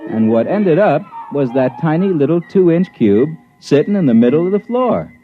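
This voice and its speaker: An easygoing American disc jockey recounting a tale in a 1950s radio play. His delivery is conversational and wry, with lively pitch movement. The old recording is muffled, with music underneath. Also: normal speed. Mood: wry storytelling. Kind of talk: 1950s radio drama narration.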